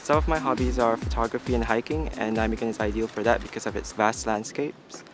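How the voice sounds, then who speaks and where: A young man from Malaysia, a master's student, talking in a measured, earnest way in an interview. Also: mid-range voice, steady tone, music in the background. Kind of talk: interview testimonial.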